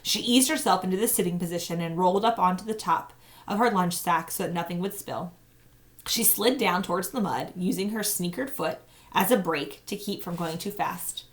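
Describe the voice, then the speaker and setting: Female teacher-narrator, slightly low register, expressive storytelling for young listeners. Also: moderate pace. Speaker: teacher reading aloud. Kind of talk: children's novel read-aloud narration.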